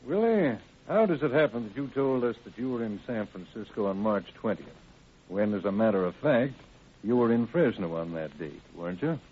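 An actor playing a shrewd, measured county sheriff in an old-time radio crime drama. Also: male voice, on the low side, lively intonation, close to an everyday voice. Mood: probing. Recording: tinny, noisy vintage radio recording.

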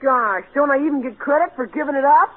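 A woman's voice: high-pitched, fast talker, close to an everyday voice. A young performer, heard as a woman, playing an eager, grumbling boy in old radio comedy, full of gee-whiz slang. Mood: dismayed. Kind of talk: 1940s radio comedy acting. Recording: tinny, narrow-band old radio recording.

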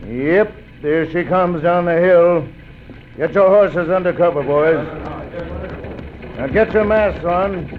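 Ruthless bandit boss, tinny old radio. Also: male voice, high-pitched, takes it slowly, slight background noise. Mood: commanding. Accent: American. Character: ruthless gloating male Old West bandit gang leader. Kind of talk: old-time radio western acting.

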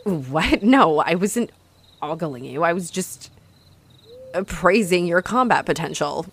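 Snarky, animated vampire woman, played by an actress. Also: lowish voice, unhurried, a little hiss or hum. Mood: flustered, defensive. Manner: mocking, sarcastic, theatrical, swearing. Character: snarky, haughty female vampire, flustered by her hunter rival. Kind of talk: roleplay audio drama addressed to the listener.

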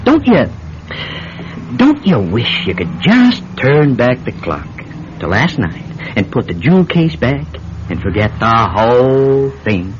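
An actor playing a wry, unhurried, folksy small-town police chief in a classic radio drama. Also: male voice, medium pitch, huge pitch swings. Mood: sly, folksy. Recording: dull, noisy old radio recording.

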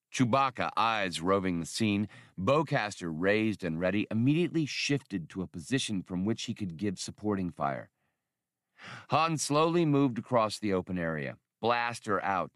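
Low-voiced male audiobook narrator. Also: fairly bright tone, no echo, studio quality. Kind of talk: scripted narration of a science fiction adventure novel.

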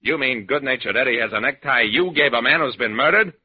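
An actor playing a wry, commanding hard-boiled detective, narrating deadpan in a 1940s-style radio drama. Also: male voice, medium pitch, a little fast, husky, lightly acted. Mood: firm. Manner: deadpan, wisecracking. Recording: dull old radio recording.

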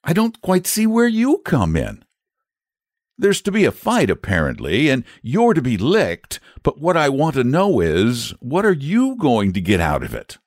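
A man's voice: medium pitch, huge pitch swings, a little slow, dry recording, studio quality, voice pushed up, full character voice. A man voicing a blunt, practical young boy in a storybook, insistent and questioning. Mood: jealous, questioning. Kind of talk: storybook character voice.

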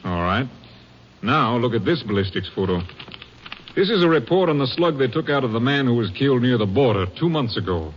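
An actor playing a steady, businesslike American Texas Rangers captain in an old-time radio drama. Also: male voice, medium pitch, a little fast, lightly acted. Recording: dull, slightly noisy old radio recording.

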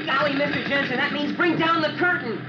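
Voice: high-pitched, a little fast, lightly acted. A performer playing an eager young boy acting as a fireman, urgent and melodramatic, in an old-time radio drama. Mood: frantic. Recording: noisy, muffled old radio, crowd behind.

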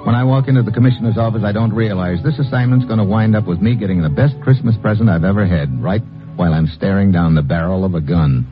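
A man's voice: on the low side, fast, natural voice. A man playing a tough, wry government agent in an old radio adventure, wisecracking and clipped. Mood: wry, hard-boiled. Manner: wisecracking, clipped, hard-boiled. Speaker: lead actor and narrator of a radio drama. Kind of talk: 1950s radio adventure acting, hard-boiled narration. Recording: muffled old radio broadcast, music behind.

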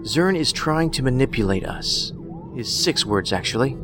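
A man acting as an eccentric male investigator in a science fiction audio drama. He is analytical and wordy, with dry whimsy, in a mid-pitched voice. Music plays clearly behind him. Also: expressive, moderate pace, natural voice. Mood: dryly whimsical. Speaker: federal agent, profiler.

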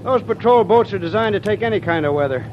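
An actor playing a hearty, capable army sergeant who calls out in an old-time radio adventure drama. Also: male voice, high voice, lively intonation, fast talker. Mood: assured. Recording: muffled, noisy vintage radio recording.

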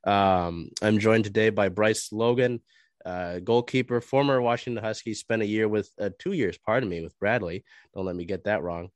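A man's voice: fairly low pitch, moderate pace, no echo. A man hosting a sports podcast interview, a little nasal and stuffy from a cold, who asks long, rambling questions. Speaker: podcast host. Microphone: video call.

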